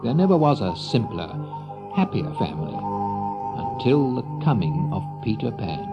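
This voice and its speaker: A man narrating a children's story in a theatrical, deliberate and expressive way. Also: mid-range voice, dull audio.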